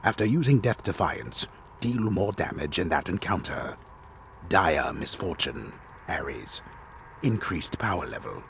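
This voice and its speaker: A man playing a disembodied game storyteller, reading a script with grave, deliberate, theatrical delivery. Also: sits low, sing-song, gravelly, a bit bright, a little hiss or hum, exaggerated voice. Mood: solemn, deliberate. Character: disembodied storyteller, grave, measured, solemn male narrator. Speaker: storyteller narrator.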